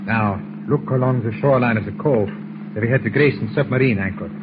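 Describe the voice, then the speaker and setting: An actor playing a domineering, villainous German spy doctor with a precise, condescending manner, in 1940s radio style. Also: male voice, medium pitch, a little fast, lightly acted. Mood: commanding. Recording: muffled noisy vintage radio recording.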